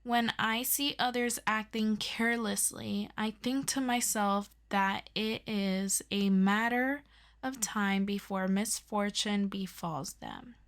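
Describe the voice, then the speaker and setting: A woman playing an earnest, excitable superhero best friend in an ASMR audio roleplay, chatty and expressive as she tutors a listener. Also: mid-range voice, takes it slowly. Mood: businesslike, friendly. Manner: chatty and expressive, with interjections and sighs. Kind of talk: ASMR audio roleplay, scripted one-sided conversation.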